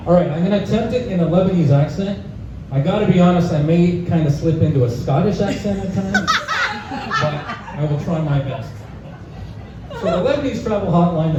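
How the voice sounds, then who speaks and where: A young Canadian man doing a live stand-up set, casual and full of "uh" fillers, chuckling at his own jokes. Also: sits high. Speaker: stand-up comedian. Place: pub comedy room, live audience. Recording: echoey bar room, distant mic, dull sound, noisy.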